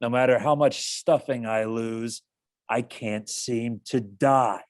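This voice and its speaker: A man voicing a gloomy, listless stuffed donkey in a stage play reading, deadpan and resigned. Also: mid-range voice, slow pace, no echo, clean studio sound, lightly acted. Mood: resigned gloom.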